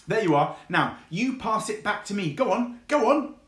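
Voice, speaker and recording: Man doing an excitable, high-pitched scruffy fox. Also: normal speed, echoey room. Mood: excited, playful. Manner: eager, bouncy, exclaiming. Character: excitable scruffy urban fox, playful and cheeky, male.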